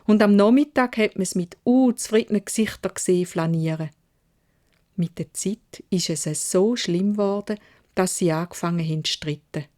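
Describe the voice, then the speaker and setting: A woman's voice: medium pitch, normal speed, darkish tone. A woman with a Swiss German accent narrating a children's fairy tale expressively in dialect, as a storyteller.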